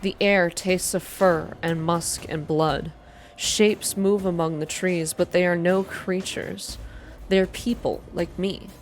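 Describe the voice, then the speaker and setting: A woman's voice: on the low side, steady tone, slow pace, slight background noise. A woman hosting a reading channel, speaking in an ASMR style for her listeners. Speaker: video game reader and channel host. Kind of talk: ASMR narrated reading and casual updates to viewers.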